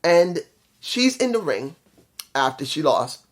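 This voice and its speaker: A man's voice: fairly high pitch, sing-song, slow pace. A man with a congested, nasal voice from allergies, giving a casual spoken review of a wrestling show with rambling restarts.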